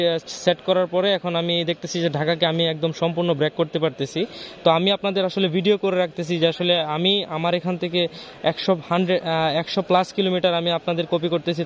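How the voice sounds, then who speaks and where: High-voiced Bangladeshi man on ham radio. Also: lo-fi sound. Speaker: amateur radio operator. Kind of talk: ham radio contact, mixing chat with call signs. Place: outdoors on a rooftop.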